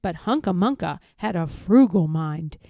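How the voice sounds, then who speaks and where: Woman storyteller, high mellow voice, very animated intonation, measured emphatic read-aloud for children. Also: vocal fry, no echo, studio quality. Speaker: storybook reader.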